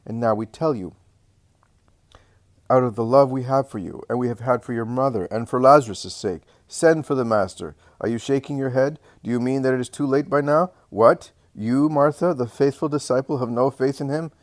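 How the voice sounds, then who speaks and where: A man's voice: medium pitch, normal speed, no room sound, studio quality, lightly acted. Man voicing male religious elder, pompous, insinuating, mocking, insistent, dramatic storybook reading. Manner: insistent, smooth-tongued questioning.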